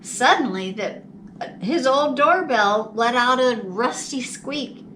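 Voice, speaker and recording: A woman reading a picture book to young children in a slow, animated, deliberate storytime style. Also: on the high side. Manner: deliberate, animated, drawn-out phrasing. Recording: distant mic, faint background noise.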